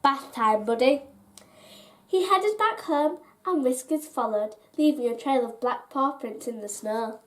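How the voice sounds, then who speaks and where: Female narrator for children's bedtime tales: high register, very measured pace, expressive and deliberate. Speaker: storyteller reading aloud.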